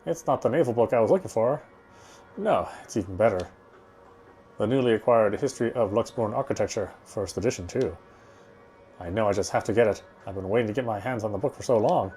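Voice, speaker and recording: Guy reading a story game out loud, soft edged voice, trips over words, bit of music under him. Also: fairly low pitch, a little fast. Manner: stumbles and rereads phrases. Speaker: game streamer reading aloud. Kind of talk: reading visual novel narration aloud.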